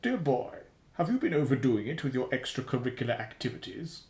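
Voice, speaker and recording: Echoey, pompous, menacing spymaster boss. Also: male voice, medium pitch, very animated, fairly quick, a little mellow. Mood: sarcastic. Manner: pompous, wordy, precise and sarcastic. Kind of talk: radio drama acting. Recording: roomy, echoing sound.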